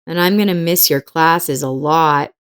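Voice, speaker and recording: A woman's voice: sits low, measured pace, clean tone. Woman acting an earnest, polite young boy. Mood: worried. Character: polite young boy, earnest orphaned martial arts pupil.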